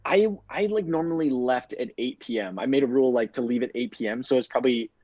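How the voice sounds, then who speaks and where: A man's voice: medium pitch, fast, a little mellow, no room sound, dull audio. A man answering interview questions in a rambling way, filling his sentences with "like". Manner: rambling, heavy use of "like". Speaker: guest, bootcamp graduate.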